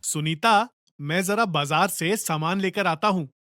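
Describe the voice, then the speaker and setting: A woman's voice: fairly low pitch, unhurried, fairly bright tone, no room sound, studio quality. A woman voicing a worried, caring husband and father in a horror cartoon story, earnest and concerned.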